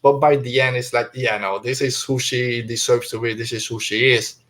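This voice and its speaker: A man's voice: medium pitch, fairly even intonation, normal speed, a bit bright. A man hosting a podcast in a casual, conversational way, talking things through and putting questions to guests. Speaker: podcast host. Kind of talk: casual podcast book discussion.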